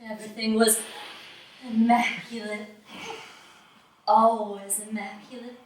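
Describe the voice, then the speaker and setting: Slow, echoey woman as a genteel dreamer. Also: a little high, level tone. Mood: wistful. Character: genteel, deluded woman lodger clinging to grand fantasies. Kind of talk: theatrical stage acting. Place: small theater studio, live performance. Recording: echoey stage, distant mic, noisy.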